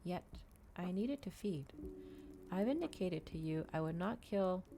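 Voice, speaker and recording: Low-voiced woman reading a story aloud. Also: expressive, a little slow, music in the background. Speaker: streamer reading a novel aloud. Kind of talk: dramatic ASMR audiobook reading.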